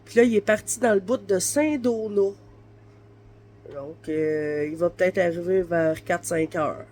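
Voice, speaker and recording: A stuffy, flu-congested woman in her thirties with a Québécois accent talks from her bed in an easygoing, rambling way. Her voice is mellow and unhurried, and faint noise sits under the recording. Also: on the low side. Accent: Québécois French. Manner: rambling, chatty, frequent fillers. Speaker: vlogger. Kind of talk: casual vlog chat. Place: her bedroom, lying in bed.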